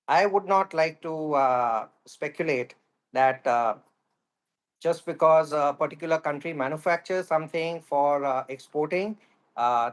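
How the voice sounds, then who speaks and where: A man comments as an expert guest in a news interview. His voice sits a little high, and he speaks formally, choosing words with care.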